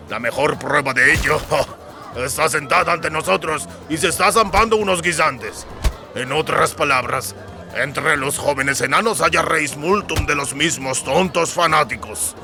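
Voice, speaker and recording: A man voicing gruff, sardonic dwarf soldiers in a fantasy audiobook, blunt and given to swearing. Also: fairly high pitch, normal speed, over music, lightly acted. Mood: mocking. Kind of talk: dramatised fantasy audiobook dialogue.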